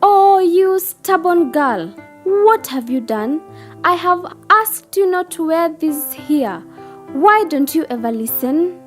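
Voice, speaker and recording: A woman's voice: sits high, lively intonation, measured pace, faint music behind, voice pushed up. A woman voicing a long-suffering, reproachful old lady guardian in a children's fairy tale, full of exclamations. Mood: scolding.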